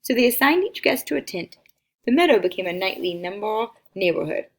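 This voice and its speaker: Animated woman reading a children's story. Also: medium pitch, brisk, breathy edge. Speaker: read-aloud storyteller. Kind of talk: expressive children's picture book narration.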